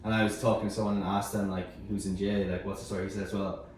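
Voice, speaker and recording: A man with a slightly low voice shares opinions in a casual group discussion. He repeats words for emphasis and lays out his points in order. The sound is very roomy and far from the mic, with faint noise. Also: little pitch movement, fast, a little mellow. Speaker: sports media team member in panel discussion. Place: echoey room.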